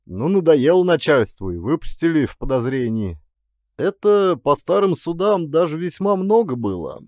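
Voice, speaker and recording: A man acting a weary folksy ex-bandit, lively pitch. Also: moderate pace, dry recording, clean studio sound. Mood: matter-of-fact. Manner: plain folksy peasant speech, calm. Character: weary, gloomy former bandit, male, frank and resigned.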